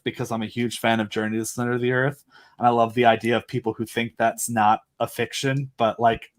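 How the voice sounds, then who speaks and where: An eager man chatting as a podcast guest. He rambles with enthusiasm, stacking up fillers and false starts as ideas tumble out, and his voice sits at an ordinary middle pitch. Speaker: podcast guest and audio drama creator. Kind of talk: casual conversational chat.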